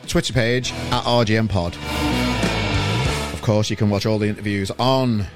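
A man's voice: fairly low pitch, steady tone, normal speed, over loud music. A man hosting a podcast, chatting casually and rambling with lots of restarts. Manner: rambling, with many restarts and laughs. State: irritable, voice going on him. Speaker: podcast host. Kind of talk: casual chat.

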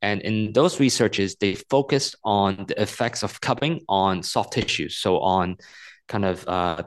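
A man in his thirties from Hong Kong, an author answering interview questions in an earnest, explanatory way, often repeating words as he thinks. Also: low voice. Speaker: author and guest.

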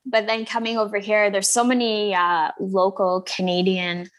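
A woman's voice: medium pitch, flat delivery, moderate pace. A woman from Scotland with a North American accent and a slight Scottish trace, a singer talking casually in an interview, hesitant with many ums and restarts.

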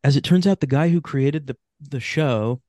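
A man chatting casually as a podcast guest, halting and repeating words as he goes. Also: on the high side, mellow, dry recording. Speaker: podcast guest, writer of radio drama. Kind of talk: casual chat.

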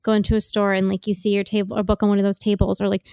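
A woman's voice: mid-range voice, flat delivery, racing, creaky, warm tone, clear, resonant tone, no echo, clean studio sound. A woman in her thirties co-hosting a podcast, musing and rambling casually with frequent "like.". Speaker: podcast co-host, writer. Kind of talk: casual chat.

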